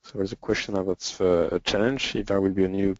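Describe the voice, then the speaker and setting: A man with a French accent answering questions as a developer in an informal webinar, speaking hesitantly with frequent pauses. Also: low-pitched, fairly even intonation. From France. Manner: hesitant, halting, with frequent pauses and throat clearing. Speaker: software developer and webinar presenter. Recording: dull lo-fi webinar audio.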